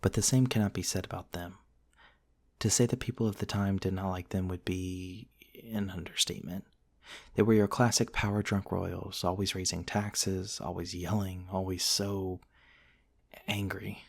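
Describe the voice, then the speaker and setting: A man playing a gentle, eccentric vampire prince from the nineteenth century, softly telling his story in an intimate, genteel ASMR roleplay monologue. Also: sits low, steady tone, gravelly. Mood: rueful, bitter. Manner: soft-spoken, wistful, genteel storytelling with pauses.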